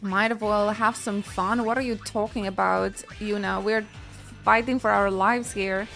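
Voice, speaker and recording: A woman's voice: mid-range voice, steady tone, unhurried, vocal fry, a bit bright. Woman streamer chatting over game music. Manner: playful, exclaiming. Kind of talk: casual chat and reactions during gameplay.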